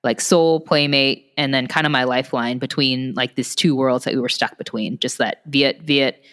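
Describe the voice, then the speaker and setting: A woman's voice: extremely low pitch, steady tone, moderate pace, a bit bright, long reverb tail. A young adult woman co-hosting a podcast, recalling childhood in a hesitant way full of fillers. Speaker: podcast co-host. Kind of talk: casual chat.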